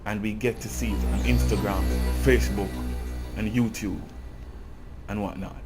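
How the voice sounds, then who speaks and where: A man's voice: lowish voice, steady tone, normal speed, over loud music. A Jamaican man giving an earnest, impassioned cultural commentary in a video essay. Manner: earnest, grows impassioned and emphatic.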